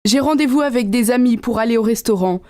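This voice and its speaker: A woman with a fairly high voice reads her dialogue lines clearly and pleasantly. The take is dry and close to the mic. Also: fast talker, a little mellow, dry recording. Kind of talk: scripted learner dialogue.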